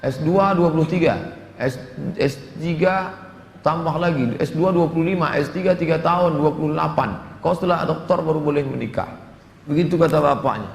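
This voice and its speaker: A middle-aged man preaches with a creaky, mid-pitched voice. He takes questions in a humorous, conversational way, slipping into little dialogues and quotations. The recording is echoey and reverberant, with some background noise. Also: moderate pace. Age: 40s. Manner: humorous, conversational, with dramatic asides and Arabic quotations. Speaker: Islamic preacher. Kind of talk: sermon answering audience questions, with jokes and acted-out dialogues.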